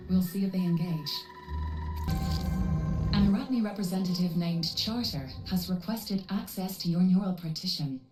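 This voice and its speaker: A woman playing a composed female AI handler in a video game, speaking in a measured, formal and clinical way. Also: lowish voice, reverberant, distant mic, over loud music, close to an everyday voice. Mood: measured briefing. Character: composed female AI handler briefing mercenary runners. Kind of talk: scripted video game cutscene dialogue.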